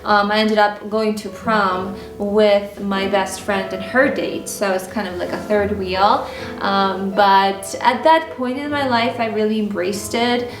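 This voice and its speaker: A woman's voice: medium pitch, fairly even intonation, unhurried, distant mic. A woman from Ukraine whose first language is Russian, telling personal stories to camera in a candid, conversational way with many fillers. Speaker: style and mindset vlogger. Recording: roomy echo with music bed.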